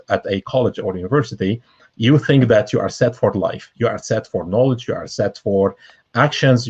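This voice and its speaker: A man from Lebanon explains his views earnestly, often restarting his sentences. His voice sits low and has a husky edge. The sound is dull and lo-fi, like a video call. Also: a little mellow. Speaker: guest. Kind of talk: casual conversation.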